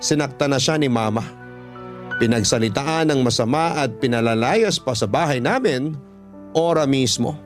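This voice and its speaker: A man's voice: mid-range voice, expressive, normal speed. A man with a Filipino accent reading a first-person story as scripted dramatic radio narration. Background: background music.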